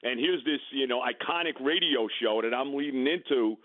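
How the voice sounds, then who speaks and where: A man's voice: medium pitch, moderate pace, no echo. A male radio host reminiscing on a call, rambling with lots of fillers. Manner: rambling with many fillers and restarts. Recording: tinny phone line.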